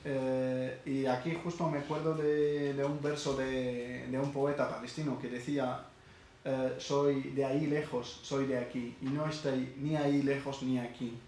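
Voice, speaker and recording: A middle-aged man from Syria gives a halting personal testimony, full of pauses and restarts. His voice sits at an ordinary pitch and carries a heavy echo from a lecture hall, with faint noise underneath. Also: flat delivery, darkish tone. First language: Arabic. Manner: halting, many hesitations and restarts. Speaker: speaker at a university event.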